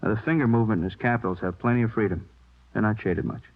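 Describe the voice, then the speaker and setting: An American man playing a methodical police handwriting expert, explaining haltingly and checking that he is followed, in 1950s radio drama style. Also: lowish voice. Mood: absorbed, matter-of-fact. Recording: tinny old radio recording.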